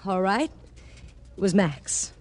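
A woman's voice: medium pitch, expressive, takes it slowly, a little mellow. A woman playing a brassy, defiant nightclub singer with sassy slang, in 1940s radio acting style. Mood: cornered, grudging.

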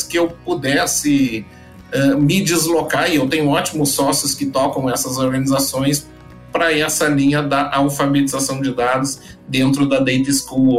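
A man, a podcast guest who explains his data business at length with frequent restarts and fillers. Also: mid-range voice. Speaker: guest, data company founder. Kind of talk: podcast interview answers.

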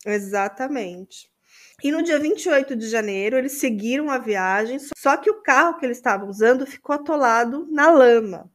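A woman's voice: fairly high pitch, lively intonation, normal speed. A woman co-hosting a podcast, chatting casually and picking up the story. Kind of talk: casual chat.

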